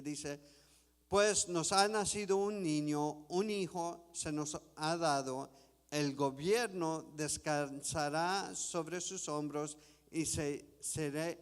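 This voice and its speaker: A man preaching a sermon in a church, reading scripture slowly and deliberately. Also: on the high side, clear, resonant tone, big-room sound. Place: church sanctuary.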